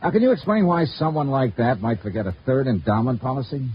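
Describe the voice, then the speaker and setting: A man's voice: medium pitch, animated, brisk, natural voice. Clipped, probing radio detective played by a man. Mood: probing, businesslike. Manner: clipped, matter-of-fact, pressing with questions. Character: dry, probing male insurance investigator narrating his case. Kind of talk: 1950s radio detective drama acting. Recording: dull, noisy old radio recording.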